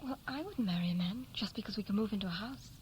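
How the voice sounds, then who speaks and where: An actress playing a curious, gently probing young woman from London in a 1960s radio play, talking in a natural conversational way. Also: medium pitch, lively intonation, fast, lightly acted. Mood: probing, concerned. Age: young adult. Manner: inquisitive, conversational. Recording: faintly noisy vintage 1960s radio recording.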